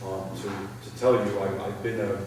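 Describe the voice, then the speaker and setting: A middle-aged man answering audience questions at a public talk, thoughtful and halting, with many restarts. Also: low voice, flat delivery. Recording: echoey hall, far from the mic, faint noise.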